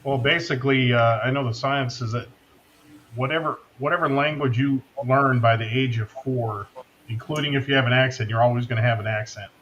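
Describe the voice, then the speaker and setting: A man from Wisconsin telling stories in a relaxed, measured way during a casual group chat. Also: mid-range voice. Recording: slightly dull lo-fi line with faint noise.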